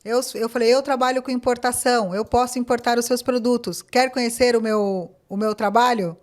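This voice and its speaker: A woman, a podcast guest telling stories in a casual, animated way and acting out quoted talk. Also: a little high, brisk. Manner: animated, acts out quoted dialogue, many fillers. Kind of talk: casual interview storytelling.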